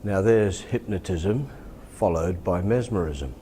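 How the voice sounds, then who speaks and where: An Australian man with a deep voice, calmly and deliberately teaching and demonstrating hypnosis in a soft-spoken, repetitive way. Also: a little hiss or hum. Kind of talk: hypnosis instruction and induction, softly spoken.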